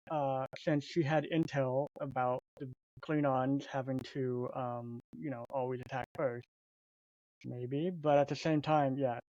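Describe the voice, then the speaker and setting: A man's voice: mid-range voice, darkish tone, no echo. A man on a podcast panel, talking casually with a light joking touch, heard over a dull lo-fi remote line. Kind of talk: casual chat.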